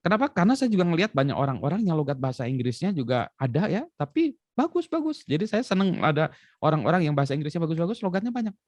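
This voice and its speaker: A middle-aged man from Indonesia, an expert guest explaining in an animated way with lots of examples and fillers. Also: fairly high pitch, fast talker, no room sound. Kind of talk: conversational interview explanation.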